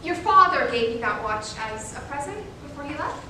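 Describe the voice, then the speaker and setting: Woman acting as patient child shrink guy, coaxing, high voice, mic far away in echoey room. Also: expressive. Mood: probing, gentle. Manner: measured, coaxing. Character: gentle, patient male child psychologist. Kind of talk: staged reader's theater. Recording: echoey room, distant mic, faint rumble.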